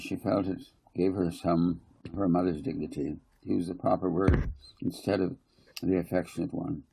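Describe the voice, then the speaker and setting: A man narrates a children's story in a low, mellow voice. It is gravelly and husky, and he reads in a slightly halting storytelling style. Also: rough recording. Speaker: author narrating own children's novel.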